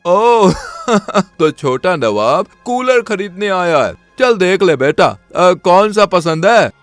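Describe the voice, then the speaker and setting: A man's voice: high voice, very animated, takes it slowly, no echo, faint music behind, exaggerated voice. A man voicing a mocking, suspicious shop owner in a cartoon story. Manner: sneering, mocking.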